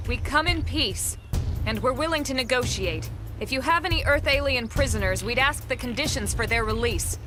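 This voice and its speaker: An actress playing a calm, diplomatic and resolute squad commander in a video game, with composed authority. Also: female voice, high-pitched, normal speed. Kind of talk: video game cutscene acting. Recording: loud music behind. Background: loud game soundtrack music.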